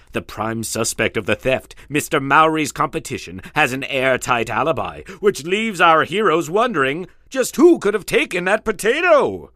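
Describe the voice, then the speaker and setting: An actor playing a grandiose narrator of a mystery radio serial, announcing with dramatic, drawn-out emphasis. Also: male voice, fairly high pitch, sharp tone, no echo, studio quality. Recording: music behind the voice.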